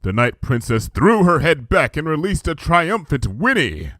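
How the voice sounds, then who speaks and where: A man narrating a comic fan story in a lively storytelling style. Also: on the high side, unhurried, no echo. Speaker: fanfiction reader. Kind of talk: dramatic audiobook narration.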